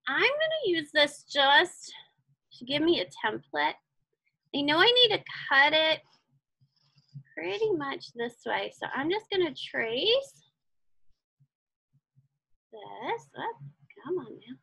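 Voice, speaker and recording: High, lively, bright-voiced woman teaching crafts. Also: takes it slowly, vocal fry, pauses often, rough recording. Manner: chatty, encouraging, thinks aloud while working. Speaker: craft instructor. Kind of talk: casual step-by-step craft tutorial.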